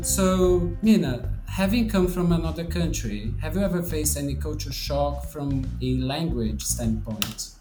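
A man hosting a casual podcast, talking in a measured way with plenty of ums and restarts. His voice sounds roomy, and music plays underneath. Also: medium pitch. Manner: measured, hesitant with many fillers. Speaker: podcast host and English teacher.

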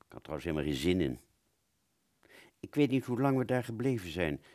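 A man playing a wounded British fighter pilot, telling his story in a radio play with a husky voice and halting, stammering delivery. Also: low voice, sing-song, darkish tone. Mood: weary, remembering. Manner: halting, stammering, repeats words. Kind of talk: first-person dramatic narration in a radio play.

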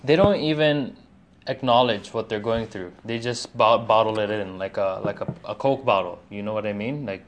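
A man's voice: on the low side, moderate pace, highs cut off, a little hiss or hum. A man hosting a casual conversation, chatty and full of "like" and "right?".

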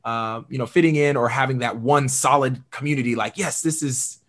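Upbeat animated male podcast host. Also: medium pitch, fairly quick. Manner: animated, upbeat, many fillers. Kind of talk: conversational interview.

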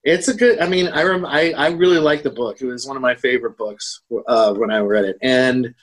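A man's voice: medium pitch, fairly quick. A man co-hosting a podcast, chatting casually and in a rambling way about horror, acting out bits of dialogue as he retells things. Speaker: podcast co-host. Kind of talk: casual chat about horror books and films.

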